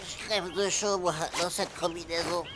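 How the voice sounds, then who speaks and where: A woman's voice: on the low side, steady tone, normal speed, background noise, lightly acted. A woman voicing an earnest, gullible young boy in a cartoon, speaking in a matter-of-fact, reassuring way. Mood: urgent. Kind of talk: French animated cartoon acting. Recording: music bed under dialogue.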